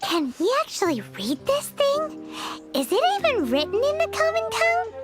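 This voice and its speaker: A woman's voice: very high voice, huge pitch swings, normal speed, over music, exaggerated voice. A woman voicing a small, chirpy, blunt girl companion in a video game, speaking of herself in the third person. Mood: curious.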